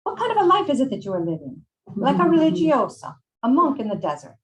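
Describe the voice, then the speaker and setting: A woman's voice: sits high, sing-song, fast, voice pushed up. A woman voicing a loving, snarky old Italian shopkeeper who fusses and bosses in an Italian accent, read from a novel. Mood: exasperated. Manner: bossy, mothering, exclamatory.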